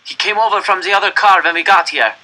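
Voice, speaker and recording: A man's voice: high-pitched, fast talker, sharp tone, voice pushed up, low-quality recording. A man voicing a hostile, accusing prisoner in a dramatic storybook reading. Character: hostile male prisoner denouncing a boy.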